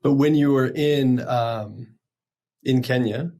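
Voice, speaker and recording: A man's voice: medium pitch, slow pace, warm tone. A man hosting a podcast interview, asking loose, friendly questions with fillers. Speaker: podcast host. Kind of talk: casual interview.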